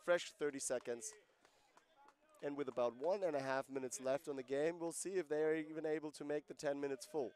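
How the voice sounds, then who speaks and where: A man's voice: on the high side, fairly even intonation, brisk, darkish tone, clean tone. A man giving live play-by-play commentary on a lacrosse game, conversational and explaining rules and player backgrounds between plays.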